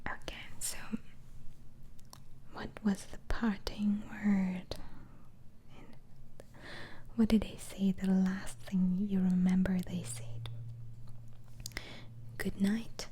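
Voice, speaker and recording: A woman whispering softly in a slow, hesitant, soothing ASMR roleplay as a gentle, reassuring doctor. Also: medium pitch, level tone, slight background noise. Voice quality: soft, breathy whisper.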